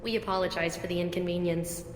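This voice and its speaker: An actress playing a formal, guarded security officer in a video game, polite in a clipped, official way. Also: female voice, steady tone, fast talker, natural voice. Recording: echoey, reverberant, background rumble.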